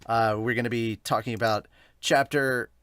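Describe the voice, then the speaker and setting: A man's voice: medium pitch, fairly even intonation, moderate pace, a bit bright, smooth, no room sound. A man hosting a podcast, chatting casually and rambling, often correcting himself as he reviews manga. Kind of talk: casual chatty review intro with live commentary.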